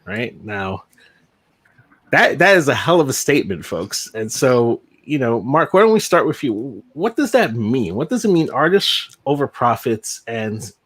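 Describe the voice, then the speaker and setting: A man's voice: medium pitch, very animated, a little slow, a bit bright, slightly rough. A man hosting a live online interview over a video call, with an upbeat, joking manner. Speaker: host.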